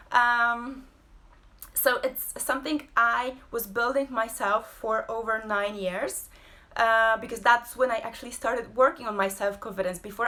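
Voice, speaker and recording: A woman, hosting a casual live-streamed talk from home, pausing often with fillers. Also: on the high side, sharp tone. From Poland. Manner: hesitant, many fillers and pauses. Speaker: host and abstract painter.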